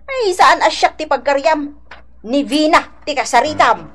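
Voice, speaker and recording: A woman's voice: high voice, expressive, normal speed, over music. A woman with an Ilocano accent playing a lamenting, chiding older mother in a radio drama. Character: fretful, admonishing older mother, caring but stern.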